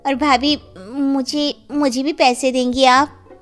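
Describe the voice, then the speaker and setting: A woman's voice: high voice, level tone, slow, faint music behind, pitched above the performer's own voice. A woman voicing a sugary, emotional young sister-in-law who wheedles her family in a story. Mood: hopeful wheedling.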